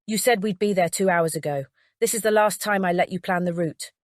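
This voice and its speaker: A woman's voice: lowish voice, brisk, dry recording, studio quality, close to an everyday voice. A woman voicing an irritated, complaining young fiancée in an audiobook.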